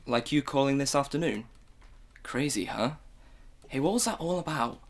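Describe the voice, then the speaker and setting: A blustering middle-aged husband in a radio play, played by a woman, with a very low, deep voice. He presses for answers and grows more insistent. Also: animated, moderate pace, heavily acted. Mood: easygoing. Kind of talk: student radio drama acting.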